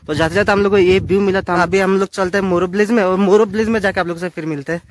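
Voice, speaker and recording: A young man in his twenties vlogging on a phone on a selfie stick, chatting excitedly to viewers on windy hilltops and a swaying bridge. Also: high voice, racing, loud music behind the voice. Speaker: travel vlogger. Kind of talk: casual travel vlog narration. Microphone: phone camera on a selfie stick. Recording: clear wind noise outdoors.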